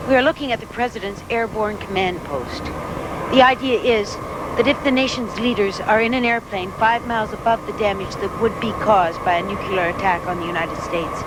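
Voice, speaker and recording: An actor playing a factual, brisk TV correspondent explaining things in a live field report. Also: medium pitch, natural voice. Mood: focused, serious. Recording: very loud aircraft noise, outdoor report.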